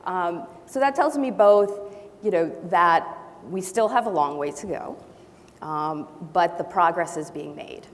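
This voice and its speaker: A woman from Brazil and Honduras whose first language is not English, speaking as a panelist with thoughtful pauses and restarts. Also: lowish voice, animated. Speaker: panelist, faculty member. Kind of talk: panel discussion. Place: large auditorium. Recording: long hall reverb, faint noise.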